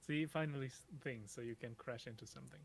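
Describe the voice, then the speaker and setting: A man's voice: medium pitch, fast talker, creaky. A man co-commentating on a game stream in casual chat, with a dry, teasing manner. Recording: faint background noise.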